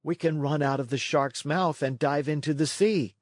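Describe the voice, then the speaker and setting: Man acting as young puppet boy, high voice, earnest, plucky, dry sound, children's storybook. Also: normal speed, dry recording, natural voice. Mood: curious, urgent. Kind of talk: animated storybook read-along.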